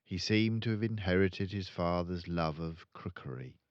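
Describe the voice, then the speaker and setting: An older man reading a children's book aloud as a storyteller, expressive and deliberate. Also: deep voice, dry recording, dull audio.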